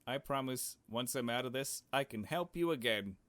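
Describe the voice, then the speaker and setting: A man voicing a frightened, earnest kidnapped young adventurer in a visual novel, speaking in a halting, pleading way. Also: medium pitch, expressive, a bit bright, no room sound, voice pushed up, natural voice. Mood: pleading, apologetic. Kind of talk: dramatic visual novel read-aloud.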